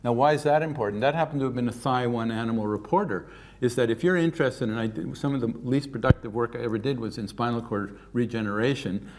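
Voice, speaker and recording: An older man from Canada delivers a keynote lecture at a scientific conference. He talks in a lively, informal way and drifts into offhand asides, his voice sitting at a middle pitch. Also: fast, a little hiss or hum. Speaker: keynote speaker.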